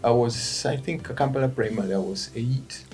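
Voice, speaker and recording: Gravelly-voiced Ugandan man, halting speech. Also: mid-range voice, faint music behind. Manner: halting, restarts words, quiet then rising when animated. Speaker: guest, event company founder. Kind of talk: interview answers.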